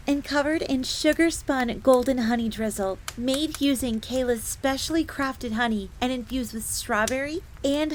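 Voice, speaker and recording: A woman performs a young bunny maid with a high, sweet voice. She is timid, eager to serve and prone to stammering, talking of herself in the third person. It is a roleplay audio drama with some noise behind the voice. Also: close to an everyday voice. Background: ambience, crackling fire.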